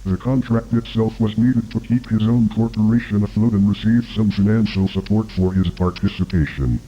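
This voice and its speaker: Man acting as muffled, deep-voiced evasive commander. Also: steady tone, moderate pace, mellow, lightly acted. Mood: guarded, formal. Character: evasive male agency commander under interview. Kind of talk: scripted analog horror dialogue.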